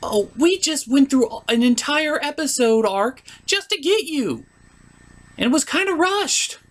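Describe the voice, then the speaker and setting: A man's voice: very high voice, animated, unhurried, voice pushed up. A man voicing a defensive, easily flustered young female princess pony in a comic puppet show. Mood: indignant. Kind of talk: comedic puppet-show review parody.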